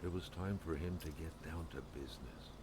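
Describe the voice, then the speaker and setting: Super deep gravelly noir-ish game narrator, dry and measured, some noise under it. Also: male voice. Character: gravel-voiced male detective-story narrator, dry and measured. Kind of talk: scripted narration.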